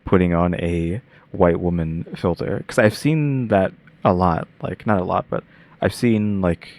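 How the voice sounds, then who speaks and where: Low-voiced male reaction host; loose, rambling delivery full of fillers. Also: a little slow, darkish tone. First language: English. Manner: rambling, heavy use of filler "like". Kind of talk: casual reaction commentary.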